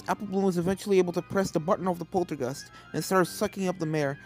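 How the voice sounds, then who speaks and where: A woman's voice: fairly low pitch, darkish tone. A woman reading a fan fiction story as a scripted narrator. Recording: game music under the voice.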